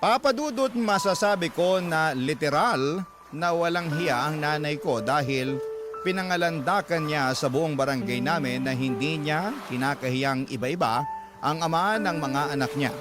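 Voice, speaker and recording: A man plays a Filipino letter writer recounting a personal life story. His voice is on the high side, in scripted radio drama narration over background music. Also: a little slow, natural voice. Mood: reflective.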